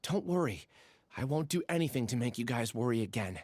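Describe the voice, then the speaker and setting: An actor playing a gentle, troubled young scholar in a video game, hesitant and apologetic. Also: male voice, medium pitch, expressive, a little fast, no echo, natural voice. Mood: subdued. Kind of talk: video game character acting.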